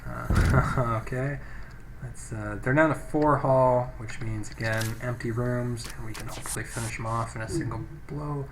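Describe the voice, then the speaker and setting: A man's voice: mid-range voice, fairly even intonation, moderate pace, noisy background. A man giving casual let's play commentary, thinking aloud and reading game text, on a poor-quality microphone. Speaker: gaming let's play host. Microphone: low-quality microphone.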